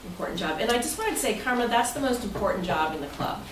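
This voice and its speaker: A woman's voice: medium pitch, animated, quick pace. A woman giving an informal, chatty and somewhat rambling presentation as president to members at a club meeting. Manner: chatty, rambling, loosely phrased. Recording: roomy, noisy recording from far off.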